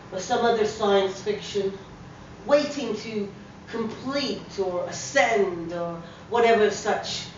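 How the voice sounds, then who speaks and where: A woman giving a dramatic reading of a short story as an actor would. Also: medium pitch. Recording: very echoey room, distant mic, slightly dull, faint noise.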